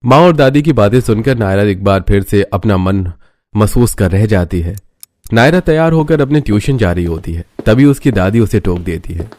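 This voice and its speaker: A man's voice: fairly low pitch, lively intonation, normal speed, darkish tone. A man reading scripted narration as the narrator of a story.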